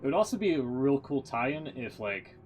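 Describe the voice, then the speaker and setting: A man's voice: medium pitch, big pitch swings, moderate pace, darkish tone, faint music behind, rough recording. A man who streams games, giving casual live commentary with offhand, wry reactions. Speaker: game streamer. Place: live stream with game audio behind.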